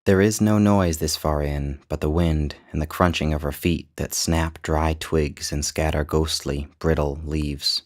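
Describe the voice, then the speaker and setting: A man narrating his own literary short story in a measured, descriptive storytelling manner. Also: a bass voice, steady tone. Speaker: author and narrator.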